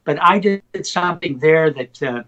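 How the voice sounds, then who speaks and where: Older poet telling lively anecdotes. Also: male voice, a little high, moderate pace, highs cut off. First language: Slovak. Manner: animated anecdotal storyteller, slips into excited retellings. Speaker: poet guest. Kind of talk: interview storytelling.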